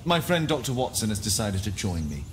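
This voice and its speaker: An actor playing a confident, playful Victorian gentleman detective with an English accent and a formal, theatrical manner in a video game. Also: on the low side, very animated, brisk. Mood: self-assured. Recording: clear background ambience noise.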